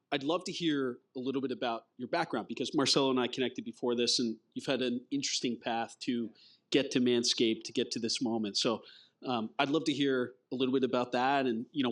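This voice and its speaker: A man's voice: mid-range voice, fairly bright tone. A man moderates a fireside chat on a conference stage in front of an audience. He talks hesitantly, with plenty of fillers and restarts, and drops dry jokes into his questions.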